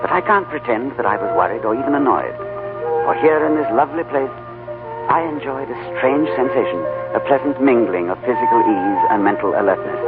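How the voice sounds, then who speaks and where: A man plays a calm, urbane adventurer in an old radio play, reflective and eloquent. His mid-pitched voice moves in lively swells. It comes through a thin, tinny recording with music behind. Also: close to an everyday voice. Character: calm, urbane, philosophical diplomat-adventurer telling his tale. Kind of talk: 1940s radio acting and dramatic narration.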